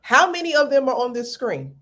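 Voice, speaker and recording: A woman's voice: high voice, sing-song, fairly quick, a bit bright. A woman co-hosting a podcast, teasing and blunt in a playful way. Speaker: podcast co-host. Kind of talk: casual chat.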